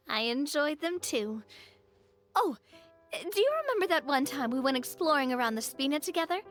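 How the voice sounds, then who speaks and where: A woman's voice: high-pitched, very animated, measured pace, long pauses, fairly bright tone, full character voice. An actress voicing a cheerful, playful young noblewoman in a video game, chatty and teasing. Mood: playful, reminiscing. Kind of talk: scripted game dialogue. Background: game music.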